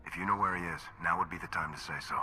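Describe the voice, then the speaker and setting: An actor playing a resolute, commanding hero in a video game. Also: male voice, low-pitched, steady tone, sharp tone. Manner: brisk, commanding. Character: resolute heroic male commander in space war. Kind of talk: dramatic video game dialogue. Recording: long reverb, music behind.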